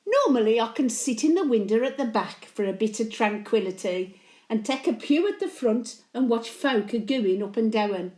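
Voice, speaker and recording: A woman's voice: fairly high pitch, expressive, normal speed, darkish tone, reverberant, lightly acted. A woman playing a blunt, antisocial elderly Birmingham widow, telling chatty stories in local dialect as a dramatic monologue. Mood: wistful. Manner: blunt, grumbling, colloquial dialect. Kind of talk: dramatic monologue, chatty storytelling to late husband.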